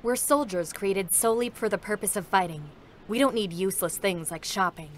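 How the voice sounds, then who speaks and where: A woman's voice: medium pitch, a little fast, a little hiss or hum, lightly acted. An actress playing a stoic, sorrowful female android soldier in a dramatic monologue, restrained, solemn and formal. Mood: stern. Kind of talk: dramatic scripted monologue reading.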